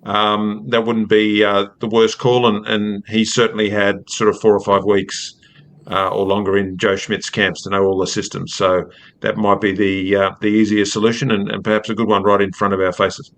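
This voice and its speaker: A man, a rugby writer and podcast guest giving measured, casual analysis. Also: lowish voice, level tone, fairly bright tone. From Australia.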